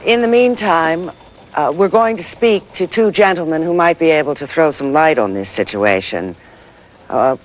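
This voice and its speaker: A woman's voice: low voice, close to an everyday voice, low-quality recording. A woman playing a poised television news co-anchor, speaking formally and questioning with care. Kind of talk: live news bulletin acting.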